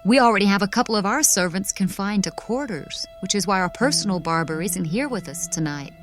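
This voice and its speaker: A woman playing a regal, gentle and dignified duchess with formal, genteel speech in a dramatised historical audiobook. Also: medium pitch, animated, fairly quick, over music, lightly acted. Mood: concerned.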